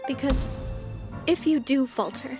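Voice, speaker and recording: An actress playing an earnest, resolute young boss in a video game, speaking in a measured way. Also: female voice, high-pitched, darkish tone, faint music behind. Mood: troubled, reflective. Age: young adult.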